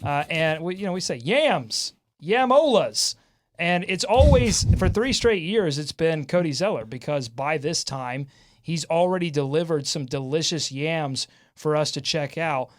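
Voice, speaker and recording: A man hosting a casual sports talk show, bantering with fans and co-hosts in an excitable, animated way. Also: fairly high pitch, unhurried. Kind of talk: casual sports fan banter with comments and highlights.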